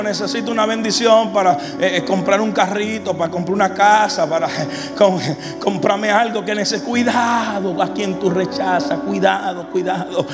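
A man in his thirties with a Dominican accent, preaching a fervent sermon into a handheld mic, swinging between shouts and hushed lines. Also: sits high, long reverb tail. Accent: Dominican Spanish. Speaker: pastor. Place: large church stage with an audience. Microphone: handheld microphone.

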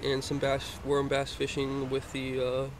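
A man's voice: mid-range voice, monotone, measured pace. A young man from Florida casually showing off his fishing gear, rambling with lots of hesitant fillers, filmed on a handheld phone inside a car. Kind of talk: casual show-and-tell. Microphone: handheld phone pointed down at gear. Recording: noisy phone recording in a car.

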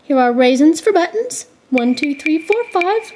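A woman's voice: sits high, expressive, measured pace, a little mellow, poor audio. A woman voicing a sweet little old wife in a children's puppet show, cooing and singsong, with music playing underneath. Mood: pleased, busy.

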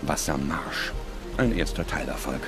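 A man's voice: extremely low pitch, lively intonation, a little slow. A man reading scripted documentary narration as the narrator. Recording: music under the voice. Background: music.

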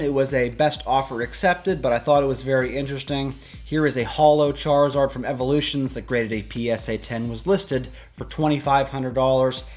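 Man presenting an upbeat rundown. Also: mid-range voice. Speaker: YouTube host. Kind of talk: scripted-style auction price rundown to camera. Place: small indoor room with shelves of collectibles.

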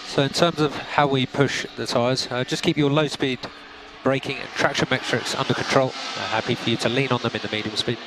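A man working as race engineer, giving calm, clipped gap numbers and setup instructions to a driver. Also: mid-range voice, noisy background. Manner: calm, methodical, clipped updates. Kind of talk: team radio instructions. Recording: compressed team radio with music.

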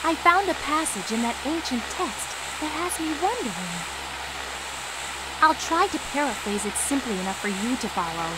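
A woman voicing a poised, sly female bird advisor in a video game, cool, measured and condescending. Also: high-pitched, animated, heavy background noise. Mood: cool, teasing. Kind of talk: animated video game cutscene acting. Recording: loud game soundtrack over voice.

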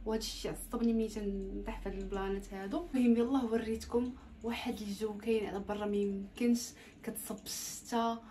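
Fast-talking young Moroccan woman. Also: mid-range voice, fairly even intonation, mellow. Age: 20s. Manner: informal, chatty, mixes in French loanwords. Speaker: lifestyle vlogger. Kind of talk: casual chatty vlog talk to camera. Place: indoors in a bedroom at home. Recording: home recording with clear background noise.

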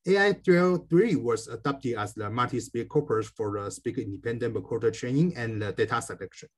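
A man narrates an academic research talk from a script. His voice sits at a medium pitch with a husky, slightly rough edge. The delivery is careful and formal. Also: fairly quick. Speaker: researcher presenting a paper.

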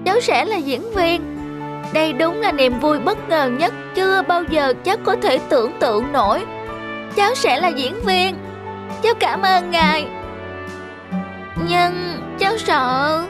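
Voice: extremely high pitch, expressive, a little slow. A performer voicing a humble, polite young shoeshine boy in a cartoon, earnest and timid. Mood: amazed, grateful. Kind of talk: animated moral tale dialogue. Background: background music.

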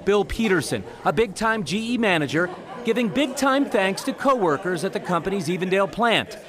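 A man's voice: sits high, animated, brisk, crowd noise. A male news reporter reading a scripted voice-over with emphatic storytelling delivery.

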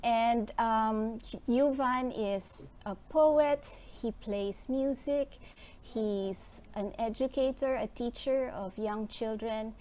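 A middle-aged woman from the Philippines hosting a webinar on a video call, chatty and a little rushed, with fillers. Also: medium pitch, mellow, slight background noise. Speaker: host. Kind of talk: introducing speakers on a webinar. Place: small room indoors. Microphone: video call with earphone mic.

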